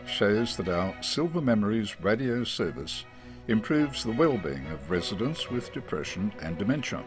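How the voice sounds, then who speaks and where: An Australian man reading a scripted promotional narration in a measured, formal announcer's manner. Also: fairly low pitch. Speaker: radio station presenter. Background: music.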